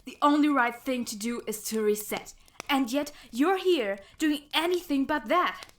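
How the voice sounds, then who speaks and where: A woman's voice: sits high, unhurried. A woman playing a cruel, mocking female villain in an audio drama, with theatrical menace and music behind her. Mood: cold menace. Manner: taunting, theatrical.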